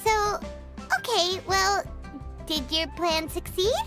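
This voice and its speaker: A woman's voice: extremely high pitch, slow, fairly bright tone, full character voice. A woman voicing a tiny, childlike fairy companion in a video game, earnest, naive and full of questions. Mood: puzzled, curious. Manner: refers to self in third person, questioning. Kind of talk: English video game voice acting. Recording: music behind the voice.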